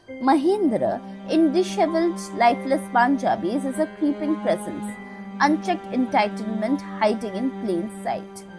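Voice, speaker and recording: High, expressive woman narrating a scripted essay. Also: poor audio. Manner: literary, measured phrasing with deliberate pauses. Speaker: video essay narrator, podcast host. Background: music.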